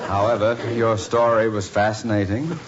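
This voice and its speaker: A man's voice: on the low side, flat delivery, moderate pace, heavy background noise, close to an everyday voice. An actor playing a pompous middle-aged male mayor in a 1950s radio sitcom, stiffly dignified until he gets tongue-tied. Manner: pompous, dignified diction that collapses into flustered spoonerisms. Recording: lo-fi old radio broadcast, studio audience laughter.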